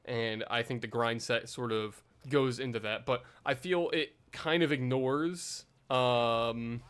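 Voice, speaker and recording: A man's voice: medium pitch, steady tone, slow, a bit bright, clear, resonant tone. A young man vlogging in a casual, rambling way, full of fillers and self-deprecating asides.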